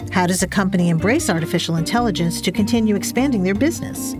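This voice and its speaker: A woman reading a scripted podcast introduction in a smooth, even voice. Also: mid-range voice, fast, over music. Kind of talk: scripted narration.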